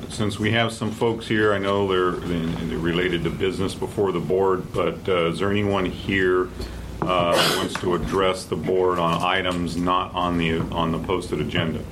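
Male board chair, deep voice, procedural off-the-cuff delivery, noisy room recording. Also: fairly even intonation, normal speed. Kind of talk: formal meeting procedure, spoken off the cuff. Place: indoor public meeting room.

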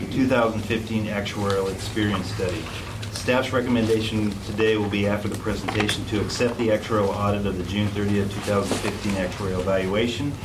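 Male staff executive at a board meeting, lowish voice, informal and halting, distant noisy pickup. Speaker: board staff executive introducing agenda items. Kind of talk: public meeting presentation. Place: indoor board meeting room. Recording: echoey, noisy meeting room, distant mic.

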